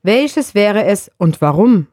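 A woman's voice: medium pitch, big pitch swings, slow, dark tone, dry recording, clean studio sound. A woman reading out scripted interview questions for a podcast. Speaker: question reader.

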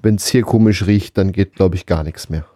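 A man's voice: low voice, moderate pace, warm tone, dry recording. A man co-hosting a podcast in casual chat, with a dry, joking manner. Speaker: podcast and radio co-host who runs the studio.

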